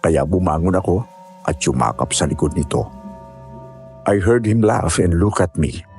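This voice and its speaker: A man with a husky voice reading scripted first-person narration for a horror story. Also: sits low, expressive, moderate pace, a little mellow, soft background music.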